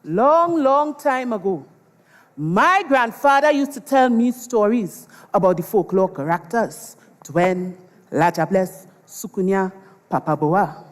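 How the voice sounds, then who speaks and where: A woman's voice: medium pitch, expressive, slow pace. Woman as a Trinidadian folklore storyteller, echoey hall. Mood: relishing, theatrical. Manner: theatrical, addresses the audience. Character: folklore storyteller recalling a grandparent's old tales. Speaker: storyteller, narrator. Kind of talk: dramatized dialect storytelling. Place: large performance hall, on stage. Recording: echoey hall with long reverb.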